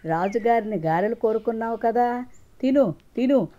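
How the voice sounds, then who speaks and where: A woman's voice: a little high, lively intonation, normal speed, dark tone, lo-fi sound, voice pushed up, close to an everyday voice. A woman storyteller voicing an angry, exasperated wife who scolds her husband in a children's tale. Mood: menacing.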